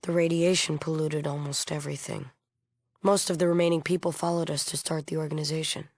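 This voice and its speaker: An actress playing a solemn, idealistic leader of a post-apocalyptic peace movement in a video game, earnest and guarded. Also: female voice, sits low, level tone, a little fast, a little mellow, no room sound. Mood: grave, informative. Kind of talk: acted video game dialogue.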